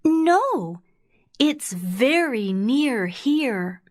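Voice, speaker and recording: Woman as a high, innocent little storybook girl. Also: big pitch swings, drawn-out words, full character voice. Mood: innocent, hesitant. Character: innocent, trusting little girl in a fairy tale.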